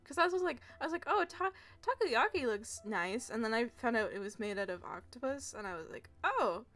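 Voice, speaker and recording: A woman's voice: on the high side, lively intonation, a little fast, fairly bright tone. A young American woman streaming a video game, chatting casually in a giggly, rambling, self-deprecating way. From United States. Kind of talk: casual gameplay commentary.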